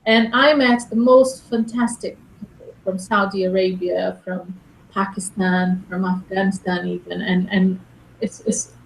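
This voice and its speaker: A woman speaks English in a relaxed, thoughtful way, often restarting and repeating words as she works out her thoughts. Her voice sits at a middle pitch. The recording is roomy and echoey. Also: measured pace, gravelly, a little hiss or hum. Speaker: interviewee. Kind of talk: casual conversation. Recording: echoey room.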